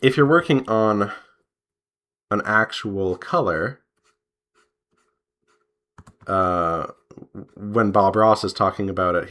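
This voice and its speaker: A man chatting casually as he draws, rambling in an unhurried way and pausing mid-sentence. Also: low voice, bright, forceful tone. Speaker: artist and devlog host. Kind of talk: casual chat while drawing.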